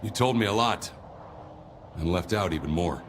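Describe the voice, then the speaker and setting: A man playing a guarded, brooding veteran stalker in a game cutscene, measured and terse with quiet resentment. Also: deep voice, lively intonation, a little hiss or hum. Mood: guarded, reproachful. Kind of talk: dramatic game cutscene acting.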